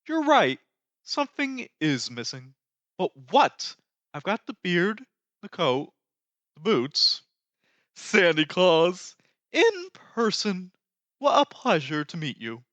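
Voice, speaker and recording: A performer voicing a lanky skeleton Pumpkin King from an animated musical, grandiose, excitable and melodramatic, with sweeping theatrical delivery. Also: medium pitch, slow, pauses often, no echo, highs cut off, full character voice. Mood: genteel, delighted. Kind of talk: fan re-recording of animated musical film lines.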